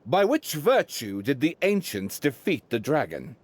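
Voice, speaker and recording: Theatrical, wildly swooping quizmaster. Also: male voice, mid-range voice, big pitch swings, a little slow, a little hiss or hum. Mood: grand, solemn. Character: theatrical male quizmaster posing solemn riddles. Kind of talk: video game character acting.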